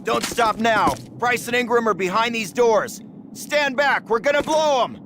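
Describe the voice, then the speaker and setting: An actor playing a commanding American World War II army captain in a video game, speaking with brisk authority in firm, clipped orders. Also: male voice, very high voice. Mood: urgent, commanding. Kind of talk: video game military drama dialogue. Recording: noisy battlefield game audio.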